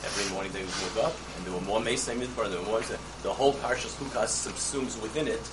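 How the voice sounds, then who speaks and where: A man, a student in a class, adding a long comment that starts halting and then runs on quickly. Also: fairly low pitch, distant mic, background noise. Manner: fast, halting start with repeated words.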